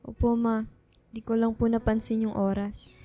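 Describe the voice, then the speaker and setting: An actress playing a grieving, discouraged young student daughter in a Filipino radio drama, plaintive and subdued, with a Filipino accent. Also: female voice, on the high side, level tone, moderate pace, mellow, soft background music, close to an everyday voice. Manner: plaintive, subdued, tearful delivery.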